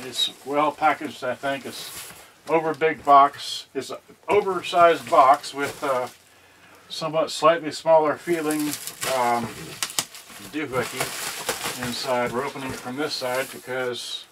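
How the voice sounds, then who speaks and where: A man narrating an unboxing off the cuff in a casual, halting way, thinking aloud in broken fragments. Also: medium pitch. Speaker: vlogger unboxing and testing a vintage cassette radio. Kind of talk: unscripted casual narration while handling items. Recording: distant mic, loud noise, clicks and handling.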